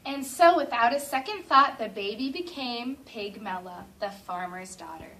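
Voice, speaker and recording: A woman teacher reading a picture book aloud to a class of children in an expressive, drawn-out storytelling way, stopping to ask eager questions. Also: on the high side. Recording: distant classroom camera with faint noise.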